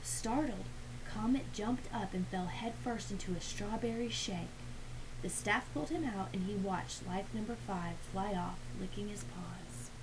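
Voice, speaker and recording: A woman of medium pitch reads a picture book aloud to children. She tells it expressively and drops into hushed little asides. Clear background noise runs through the recording. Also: measured pace. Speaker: read-aloud reader.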